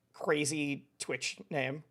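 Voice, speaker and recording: A young man hosting a live stream, chatting casually and laughing often as he reads out follows. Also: on the high side. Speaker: streamer host.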